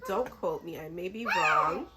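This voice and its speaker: A woman's voice: mid-range voice, huge pitch swings, unhurried, very noisy. A woman book vlogger talks casually to camera with a mid-range voice. She is a little under the weather but sounds chatty and enthusiastic, keeping things informal. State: a little sick.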